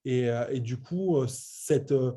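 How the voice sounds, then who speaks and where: Male, late 30s, soft dark tone, medium pitch, informal presenter who stresses points and restarts often. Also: takes it slowly. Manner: hesitant, repetitive, emphatic. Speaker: web entrepreneur presenting a training programme. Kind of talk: informal online business presentation.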